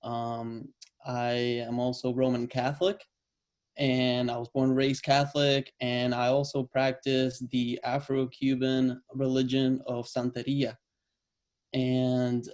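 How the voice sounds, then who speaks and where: Man from Cuba, divinity student, easy relaxed self-introduction. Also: mid-range voice. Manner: relaxed, with fillers and a laugh.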